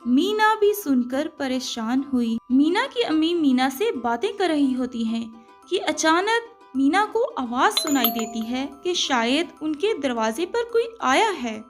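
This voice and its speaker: A high-voiced woman narrates a children's moral tale in scripted storybook style. Music plays behind her as she tells it. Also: very animated, unhurried.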